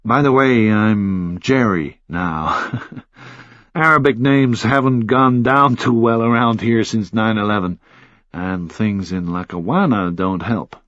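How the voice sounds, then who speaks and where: A man's voice: fairly low pitch, slow, a bit bright, no echo. Man voicing easygoing, drawling American journalist, slightly muffled. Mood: earnest. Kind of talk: audiobook character dialogue.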